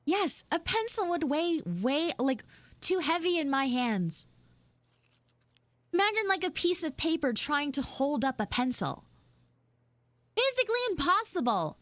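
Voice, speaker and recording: A woman voicing a bratty, dramatic tsundere anime girl in a live improvised audio roleplay, theatrical and whiny, with drawn-out words. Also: high-pitched, very animated, a bit bright, no echo, full character voice. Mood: whiny, sulky. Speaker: streamer, voice actor.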